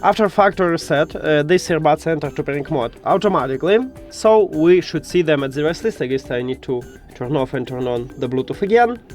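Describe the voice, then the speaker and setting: A man who speaks English as a second language, hosting a step-by-step tech tutorial in a halting, instructional way. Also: fairly high pitch, expressive, darkish tone, soft background music. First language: not English.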